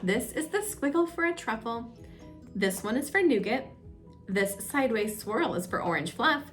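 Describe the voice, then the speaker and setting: Female children's story time reader: fairly high pitch, highly melodic expressive delivery, music underneath. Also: normal speed. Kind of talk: read-aloud children's storybook narration.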